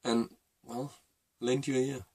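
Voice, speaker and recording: A middle-aged man with a Danish accent, a native Danish speaker, telling a personal story to camera in a halting, reflective way. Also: mid-range voice, level tone, a little mellow, dry recording, studio quality. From Denmark. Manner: halting and reflective, with many fillers and restarts. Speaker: vlogger.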